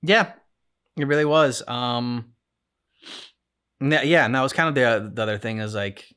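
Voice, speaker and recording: A man in casual, reflective conversation as a co-host, halting and repeating words as he searches for his thoughts. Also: mid-range voice, bright, forceful tone, smooth. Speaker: co-host of a reaction channel.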